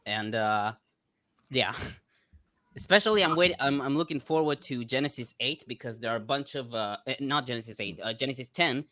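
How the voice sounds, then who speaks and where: A man with a bright, forceful voice, a Hebrew speaker talking on a casual online panel. He speaks haltingly, with many fillers and small laughs. The sound is dry and close, with no room around it. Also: mid-range voice, no room sound. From Israel. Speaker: guest panelist.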